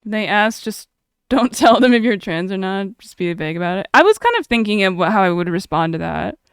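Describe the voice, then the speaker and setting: A woman's voice: medium pitch, expressive, fairly quick, smooth, dry recording, studio quality. A young woman streamer chatting casually, reading chat aloud and laughing often.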